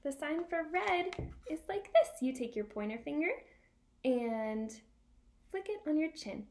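A young woman reading a picture book aloud for small children and teaching signs, in an animated, exaggerated sing-song manner. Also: sits high, a little slow. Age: young adult. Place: small room at home by a window.

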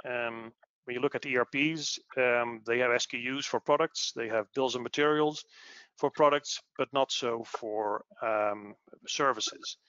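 A man explaining business material in a webinar in a measured, halting way over an online call. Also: medium pitch, fairly even intonation, sharp tone, smooth, no room sound. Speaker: webinar presenter, tax expert. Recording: dull lo-fi online audio.

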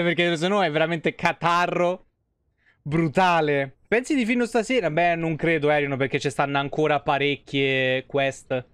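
A man's voice: fairly high pitch, a bit bright, clear, resonant tone, no echo. A man in his thirties doing casual live game commentary and chatting with viewers, informal and swearing freely. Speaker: live streamer.

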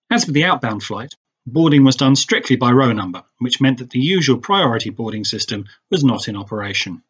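British guy doing a travel vlog voiceover, bit rough voice, deadpan understatement, middle aged, sound a little muffled. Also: medium pitch. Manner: dry, wry understatement. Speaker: travel vlogger. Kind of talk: scripted voice-over narration.

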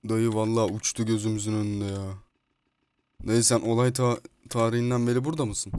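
A man giving excitable, slangy, foul-mouthed gameplay commentary as he chats in a roleplay game. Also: lowish voice, a little fast. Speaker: video host playing a roleplay game. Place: indoors at a computer, voice over gameplay. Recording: clear background noise, keyboard clicking.

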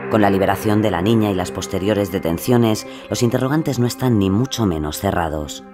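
Male true-crime narrator, low pitch, clear music bed. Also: brisk.

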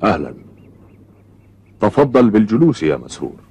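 A man playing a kindly, patient middle-aged fisherman in a cartoon, speaking formal Arabic with measured, clear articulation. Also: fairly low pitch, very animated, a little mellow, exaggerated voice. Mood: calm, kindly.

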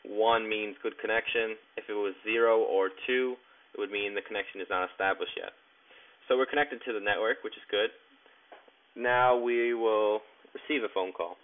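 A man narrating a step-by-step tech tutorial in a plain, instructional way with halting phrasing. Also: lowish voice, steady tone. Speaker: tutorial presenter. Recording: tinny, narrow phone-like sound.

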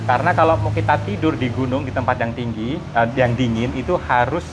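A man in his thirties, sitting on a porch floor and explaining his hiking gear step by step in a relaxed, casual way with many fillers, recorded on a lapel mic. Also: mid-range voice, fairly quick. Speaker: hiking community host showing gear. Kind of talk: casual show-and-tell explanation. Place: outdoor porch by a house, seated on the floor. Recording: noisy street ambience with passing cars.

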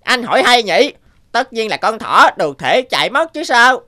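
A woman voicing an indignant, aggrieved bird in an animated fairy tale. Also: on the high side, normal speed.

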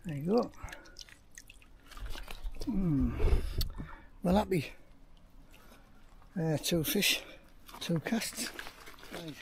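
A man's voice: high-pitched, expressive, extremely slow, gravelly, pauses often, slight background noise. An older man, chatting cheerfully to himself and to a camera while fishing outdoors on a riverbank. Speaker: angling vlogger. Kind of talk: casual running commentary while fishing. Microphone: action camera mounted on the bank.

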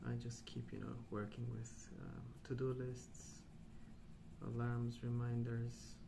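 A man speaking softly and breathily in an ASMR style, often near a whisper, slow and calm with hesitant pauses between short phrases. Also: mid-range voice. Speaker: ASMR host. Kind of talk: soft-spoken rambling ASMR. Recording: clear background noise.

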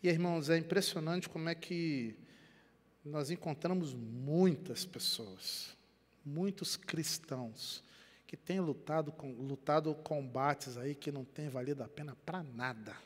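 An older man from Rio de Janeiro preaching a sermon in Brazilian Portuguese on a headset mic in a church, animated, dropping to quiet and rising again. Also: on the high side, a little slow. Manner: animated preaching with wide gestures, swings between quiet and loud. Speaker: pastor. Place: church sanctuary. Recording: long church hall reverb.

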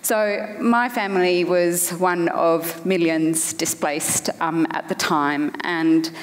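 A woman's voice: lowish voice, steady tone, a little hiss or hum. Thoughtful woman in a reverberant hall. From Afghanistan. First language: Farsi. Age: 30s. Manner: thoughtful, many "um" pauses. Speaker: panel guest. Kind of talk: panel discussion. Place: large hall on stage. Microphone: headset mic.

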